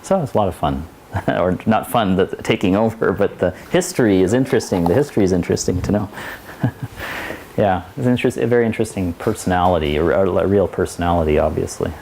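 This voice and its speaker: Male lecturer, very low voice, digressive and restarting often; faint hiss behind him. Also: a little fast, gravelly, a little mellow. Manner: digressive, many restarts and asides. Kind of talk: university lecture. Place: lecture hall.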